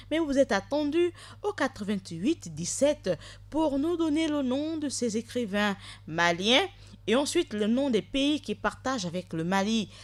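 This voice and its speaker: A woman hosting a live radio show, talking straight to listeners in a lively way with quick asides. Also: medium pitch, no echo. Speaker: radio host.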